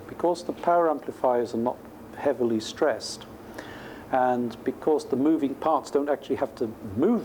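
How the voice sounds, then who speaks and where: Mellow-voiced male lecturer, noisy recording. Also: medium pitch. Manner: conversational, thinking aloud. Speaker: professor giving a lecture. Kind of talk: technical lecture question-and-answer, informal explaining. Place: lecture room at a university.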